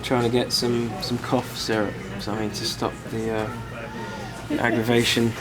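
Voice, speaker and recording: A man talks through a tour diary while nursing a head cold, and his voice is hoarse and worn. He speaks in an easygoing, self-deprecating way, stumbling over fillers as he goes. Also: mid-range voice, monotone, moderate pace, loud music behind the voice. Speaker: band member filming tour diary. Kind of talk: casual tour vlog narration.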